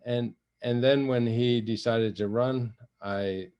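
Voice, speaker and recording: An older man from Africa, Swahili his first language, telling his story in an interview in a measured way, often restarting and laughing lightly. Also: medium pitch, fairly even intonation, a little mellow, smooth, dull audio. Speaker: guest, retired general and envoy. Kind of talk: interview conversation.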